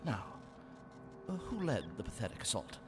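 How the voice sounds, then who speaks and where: A man's voice: mid-range voice, sing-song, normal speed, over music, natural voice. An actor playing a cruel, imperious male warlord who barks threats and clipped orders in a space opera audio drama. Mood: cold, commanding. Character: menacing Imperial warlord, a cruel commanding man.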